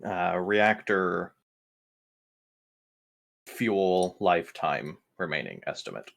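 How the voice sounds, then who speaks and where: A man playing a tabletop roleplaying game, asking casual questions and thinking aloud haltingly. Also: deep voice, lively intonation, vocal fry, a bit bright. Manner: hesitant, musing, thinking aloud.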